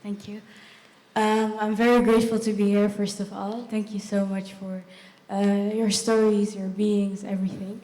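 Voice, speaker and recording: A young woman in her twenties from Rwanda, speaking from the audience at a live festival panel, halting and full of fillers and short laughs. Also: mid-range voice, level tone, dark tone. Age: 20s. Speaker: audience member asking a question. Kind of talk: hesitant spoken remarks at a live festival panel. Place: festival event hall. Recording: echoey hall with long reverb.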